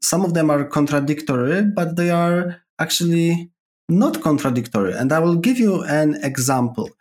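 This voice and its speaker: A man's voice: on the high side, animated, normal speed, a little mellow. Man, Polish accent, thoughtful explainer, podcast guest on a video call. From Poland. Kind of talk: casual interview chat. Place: remote call.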